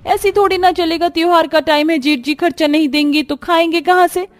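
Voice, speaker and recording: Woman putting on a haughty, high-pitched spoiled daughter-in-law. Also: steady tone, a little fast, soft background music. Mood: scornful. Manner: whiny, mocking, scornful complaining. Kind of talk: cartoon family drama voice acting.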